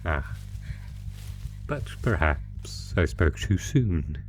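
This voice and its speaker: A man's voice: very deep voice, exaggerated voice, rough recording. A man playing an ancient, predatory monster who theatrically taunts human prey in a horror audio roleplay. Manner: theatrical, savoring, taunting, drawn-out phrasing.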